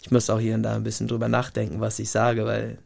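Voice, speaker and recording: A man from the Puget Sound area with an American accent, a radio guest speaking German as a second language, pausing often to think and restarting phrases. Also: fairly low pitch, completely flat delivery, vocal fry, darkish tone. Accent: American English. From Puget Sound region, USA. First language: not German. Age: adult, past university. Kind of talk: casual interview talk. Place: radio studio. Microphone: studio microphone.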